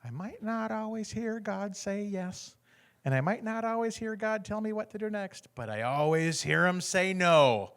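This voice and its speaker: Lively storytelling American man. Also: high voice, moderate pace, clear, resonant tone. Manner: acts out other people's lines, often laughing. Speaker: guest speaker sharing a testimony. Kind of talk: animated storytelling testimony.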